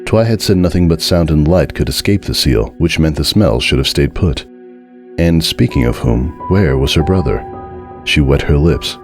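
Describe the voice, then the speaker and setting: Very deep-voiced man narrating horror. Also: expressive, normal speed, over music. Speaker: narrator reading a fan horror story. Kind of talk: dramatic scripted narration.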